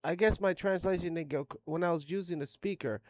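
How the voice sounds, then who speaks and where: A man speaking softly and gently in casual talk, explaining himself patiently. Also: fast, no room sound. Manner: soft, gentle, low-key speech. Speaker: listener talking with an AI. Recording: dull, dry lo-fi sound.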